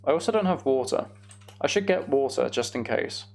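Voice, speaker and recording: A young man giving casual, meandering gameplay commentary on a live stream, thinking aloud and chatting to viewers, with a creaky voice. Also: medium pitch, animated, brisk.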